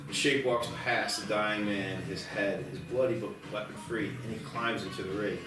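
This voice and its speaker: A man's voice: fairly low pitch, fairly even intonation, normal speed. A man narrating a screenplay, reading out the stage directions at a table read. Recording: distant echoey room, clear music behind.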